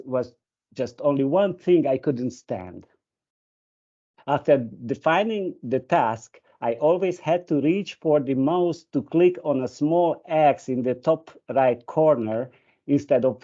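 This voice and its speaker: A man whose first language is Hungarian presents a webinar over a video call. His voice is mellow and dark, and he hesitates often, restarting phrases and filling gaps. The audio is dull and muffled. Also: medium pitch, measured pace. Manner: hesitant, many fillers and restarts. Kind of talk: presentation with an anecdote.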